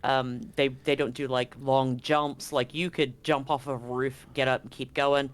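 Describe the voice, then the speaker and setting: A man's voice: medium pitch, level tone, unhurried. A man acting as game master, narrating and chatting in an easygoing, informal way during a live tabletop game.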